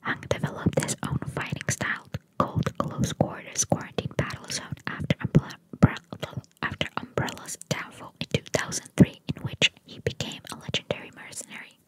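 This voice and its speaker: Whispering woman, breathy ASMR. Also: moderate pace, few pauses, low-quality recording. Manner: informal, chatty, sweary. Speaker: ASMR creator. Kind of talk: whispered ASMR, reading trivia with casual asides.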